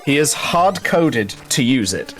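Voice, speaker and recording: A man's voice: mid-range voice, sing-song, a little slow, fairly bright tone, faint music behind. A man commentating a live gaming race, explaining things hesitantly with dry, understated humour.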